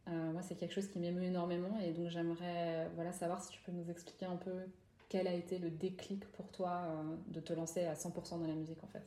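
Woman podcast host, lowish voice, mellow, hesitant, echoey room. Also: little pitch movement, fast. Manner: hesitant, many restarts and fillers. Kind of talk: interview questions.

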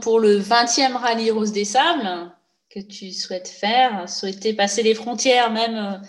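A woman interviewing rally participants, asking brief, friendly questions. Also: a little high.

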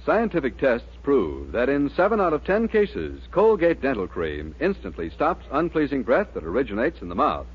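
American male advert announcer, huge pitch swings, rough-edged voice, vintage radio copy reading, muffled recording. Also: huge pitch swings, moderate pace, few pauses. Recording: dull 1940s radio recording.

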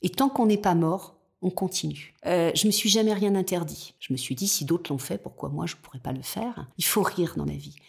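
Entrepreneur woman in her fifties, low husky voice, reflective and determined. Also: normal speed, hardly any pauses. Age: 50s. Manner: reflective, determined, quotes her own inner thoughts. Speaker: entrepreneur guest. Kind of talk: personal storytelling in interview.

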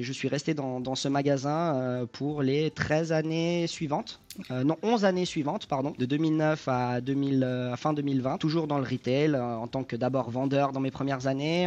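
Man, 30s, from Switzerland; halting guest answers full of fillers, lo-fi sound. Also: medium pitch, a little mellow. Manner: hesitant, many fillers and restarts. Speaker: guest, e-commerce project leader. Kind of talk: conversational interview answers. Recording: dull lo-fi sound.

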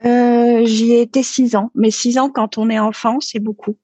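A woman's voice: a little high, flat delivery, moderate pace, warm tone, dry recording. A French woman in her thirties, a podcast guest talking casually and hesitantly, with many fillers and restarts. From France. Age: 30s. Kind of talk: casual interview talk.

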